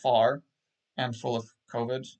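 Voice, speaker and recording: A man in casual chat on a livestream, self-deprecating and halting, with frequent restarts. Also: mid-range voice, level tone, a little mellow. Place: home setup on a livestream call.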